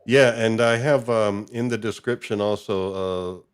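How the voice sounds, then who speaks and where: A man's voice: fairly low pitch, steady tone, measured pace, clean tone. A man hosting a show in a casual, informal chat, chuckling between remarks. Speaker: show host.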